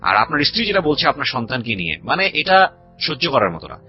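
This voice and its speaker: A Bangladeshi man hosts a radio interview with a very animated, outspoken delivery. He talks quickly and slips English phrases into his sentences. The sound is somewhat dull, with faint music behind him. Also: mid-range voice. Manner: mixes English words and phrases into speech; opinionated, outspoken. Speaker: radio show host. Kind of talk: conversational radio interview with personal commentary. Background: background music.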